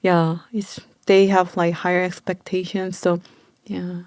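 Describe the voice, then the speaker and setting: A woman's voice: fairly low pitch, little pitch movement, a little slow, darkish tone, dry recording. A woman who owns a small clinic, chatting in an interview in simple, broken English, filling with "like" and laughing often. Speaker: clinic owner. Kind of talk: casual interview chat.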